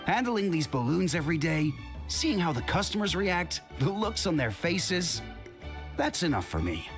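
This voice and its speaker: A modest young balloon keeper speaks softly and hesitantly. His pitch swings widely. Game music plays clearly in the background. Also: sing-song, moderate pace. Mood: wistful. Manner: soft-spoken, hesitant. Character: gentle young male balloon operator, modest and resigned. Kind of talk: video game voice acting.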